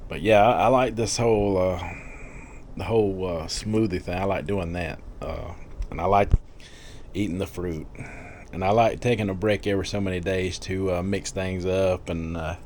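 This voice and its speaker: A man's voice: deep voice, steady tone, moderate pace, a little mellow, a little hiss or hum. A man in his fifties chatting casually in a rambling vlog, full of fillers and little laughs. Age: 50s. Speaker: vlogger.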